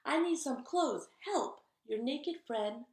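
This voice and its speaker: An embarrassed storybook crayon, voiced by a woman, complains and begs dramatically. The voice is pitched up and plaintive, heard in an echoey room. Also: expressive, takes it slowly, a little mellow, exaggerated voice. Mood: embarrassed, pleading. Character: embarrassed, naked, complaining crayon begging for clothes. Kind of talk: storybook character voice.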